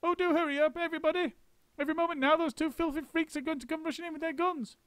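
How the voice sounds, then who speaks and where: A man voicing a frantic, bossy male monkey father who shouts orders. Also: extremely high pitch, brisk, no echo, pitched above the performer's own voice. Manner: shouting, excitable, commanding.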